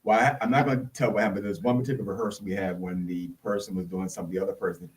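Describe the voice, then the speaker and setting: A man chatting casually and telling things in an animated, informal way. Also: low-pitched, racing. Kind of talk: casual chat.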